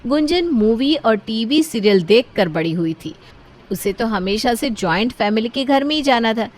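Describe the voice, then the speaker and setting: Woman narrator, highish voice, scripted moral-story storytelling. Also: lively intonation, moderate pace.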